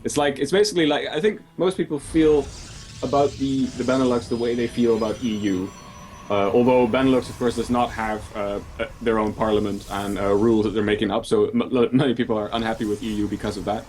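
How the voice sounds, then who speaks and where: A man from the Netherlands casting a video game match from home, explaining in a measured way with frequent fillers. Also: medium pitch, fairly even intonation, darkish tone, a little hiss or hum. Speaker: esports caster. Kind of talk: casual game commentary. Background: faint music.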